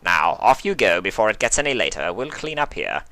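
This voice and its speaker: A man voicing a stately, wealthy gentleman unicorn, formal, courteous and a little pompous, in a story reading. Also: on the low side, big pitch swings, fairly quick, exaggerated voice. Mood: jovial, generous.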